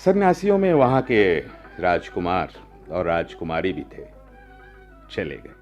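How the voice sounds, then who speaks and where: A man's voice: deep voice, wildly swooping pitch, a little slow, a little mellow, muffled recording, soft background music, exaggerated voice. A man playing a proud, hospitable ancient Indian ruler in a stately, declamatory radio drama style. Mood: commanding, formal. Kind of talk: Hindi radio drama acting.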